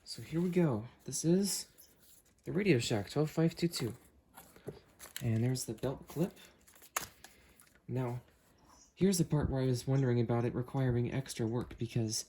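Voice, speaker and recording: Male unboxing host, mid-pitched, casual hands-on commentary, slight background noise. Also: dark tone. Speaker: host unboxing weather radios.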